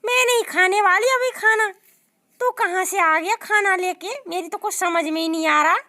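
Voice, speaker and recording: Lady doing a whiny high-pitched new bride, greedy, nags nonstop. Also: pushed and bright. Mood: nagging. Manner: nagging, dramatic, quick to complain. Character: greedy quarrelsome new bride, loud and nagging. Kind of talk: comic sketch.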